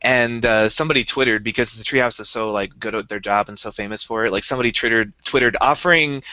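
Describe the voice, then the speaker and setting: Young male podcast co-host, eager rambling explainer, crisp bright tone, dry dull recording. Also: mid-range voice, quick pace, no echo. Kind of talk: casual chat.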